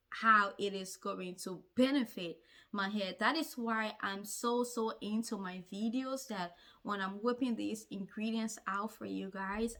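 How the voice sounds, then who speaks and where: A woman's voice: mid-range voice, fairly bright tone. A woman vlogger telling a personal story to camera in an earnest, emphatic way, repeating phrases to drive points home. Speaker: hair-care vlogger.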